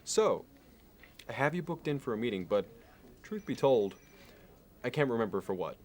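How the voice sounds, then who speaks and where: A man playing a polished, courteous and meticulous finance executive in an ASMR roleplay, speaking in a measured, halting and formal way. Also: medium pitch, sing-song, darkish tone, natural voice. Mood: polite, businesslike. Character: polished, courteous, obsessively appraising male Manhattan finance executive.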